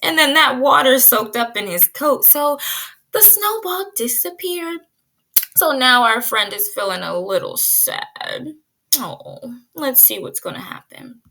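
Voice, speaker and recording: A woman pre-K teacher giving an expressive read-aloud lesson, drawing out key words and putting guiding questions to young listeners. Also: high-pitched, creaky, a little hiss or hum. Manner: drawn-out emphasis on key words.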